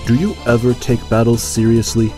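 An actor playing a dry, level-headed fighter in a video game fan dub. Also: male voice, medium pitch, fairly even intonation, moderate pace, natural voice. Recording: loud music behind the voice.

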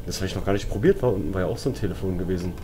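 Deep-voiced German man gaming, music behind. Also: rapid-fire. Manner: jumpy, exclamatory, self-talking. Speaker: let's player. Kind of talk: casual horror game commentary. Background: game music and sounds.

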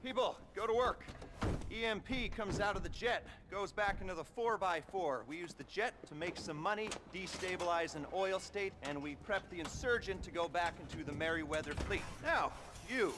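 An actor playing a brisk, sardonic male boss briefing a covert crew in a video game cutscene, with a casual, bossy manner. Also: high voice, background noise, lightly acted. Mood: bossy, urgent. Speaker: mission handler.

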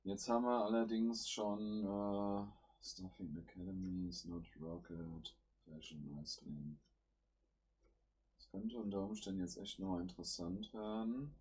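A man with a cold hosts his gaming channel from home. His voice sits very low and comes out husky. He talks through each move with casual fillers. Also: steady tone, unhurried, darkish tone. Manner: thinks aloud while playing, with fillers. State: has a cold. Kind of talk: casual gameplay commentary.

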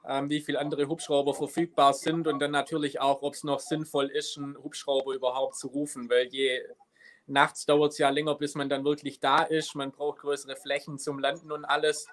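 A man's voice: fairly high pitch, flat delivery, moderate pace. A man in his thirties talking casually on a live video call as a helicopter rescue crew member. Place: office at an air rescue station.

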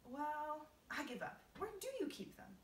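A woman acts out a polite little boy in a children's tale, earnest and full of wonder. The voice lifts higher and moves expressively, recorded in a roomy space. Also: very animated, a little slow, far from the mic, slight background noise. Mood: puzzled, disbelieving.

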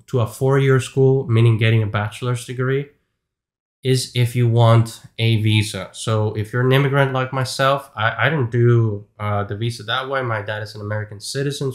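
A man, giving frank career advice to camera from a small home room. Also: mid-range voice. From Venezuela. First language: Spanish. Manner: earnest, frank, repeats points for emphasis. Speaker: YouTube host, game artist. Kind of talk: casual advice talk to camera.

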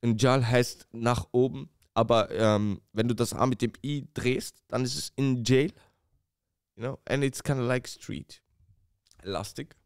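Smooth, laid-back rapper, thirty, slangy. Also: male voice, mid-range voice, steady tone, unhurried, no room sound, clean studio sound. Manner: laid-back, slangy, drops bro and man. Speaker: rapper guest. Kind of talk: casual podcast interview. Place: small studio room with posters, seated at table. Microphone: podcast mic on boom arm.

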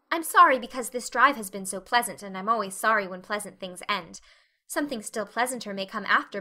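A woman's voice: a little high, sharp tone. A woman voicing a dreamy, talkative, imaginative orphan girl in a dramatic audiobook reading, with a rapturous, effusive manner.

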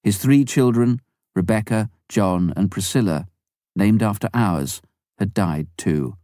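Male narrator, low and mellow, dry close recording, steady audiobook read. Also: no room sound. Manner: measured, even first-person reading. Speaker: audiobook reader. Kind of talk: scripted memoir narration.

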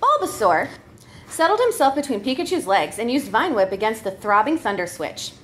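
A woman's voice: on the high side, very animated, normal speed, a bit bright, a little hiss or hum. A woman reading a comic story aloud as a performer, giggly and prone to breaking up as she goes. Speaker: comedy troupe member reading a story.

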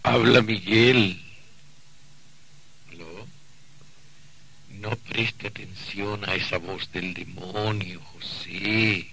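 Very slow, solemn messenger of fate. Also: male voice, deep voice, fairly even intonation, vocal fry, bright, forceful tone, husky, full character voice, poor audio. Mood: solemn warning. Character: solemn, commanding male messenger of destiny. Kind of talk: radio drama acting.

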